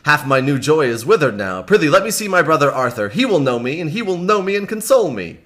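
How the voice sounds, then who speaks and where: A man's voice: on the high side, very animated, fast talker, fairly bright tone, voice pushed up. A man voicing an earnest young nobleman in a storybook reading, speaking in archaic, impassioned, pleading lines. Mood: anguished. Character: earnest young nobleman returning home, dramatic.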